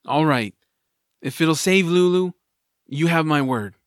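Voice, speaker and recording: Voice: mid-range voice, unhurried, no room sound, studio quality, lightly acted. A performer playing a young boy hero in an audio drama, earnest, kind and worried, speaking plainly. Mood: wary, resolute.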